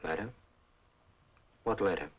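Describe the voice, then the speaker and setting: An actor playing a testy, conniving nephew in a radio drama, formal and curt. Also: male voice, sits low, a little slow, slightly rough, highs cut off. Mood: feigned innocence. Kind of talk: old-fashioned radio drama acting.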